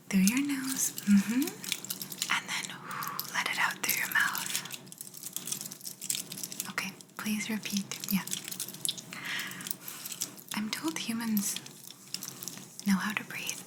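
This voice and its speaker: Woman playing a slow, whispering alien abductor. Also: medium pitch. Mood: gentle, wry. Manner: slow, soft whispering with gentle repeated reassurances. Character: curious, teasing, soothing female alien abductor examining a human. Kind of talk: whispered ASMR roleplay.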